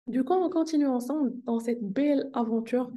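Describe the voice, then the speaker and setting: Warm-voiced woman vlogging. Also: sits high, normal speed. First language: Arabic. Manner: earnest, upbeat, repeats phrases for emphasis. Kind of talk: casual motivational vlog talk. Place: hotel meeting room.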